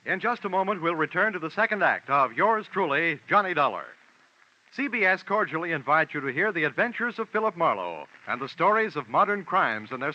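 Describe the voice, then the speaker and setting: A man's voice: fairly high pitch, sharp tone. A man announcing in a formal scripted 1940s radio style. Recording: dull old radio recording.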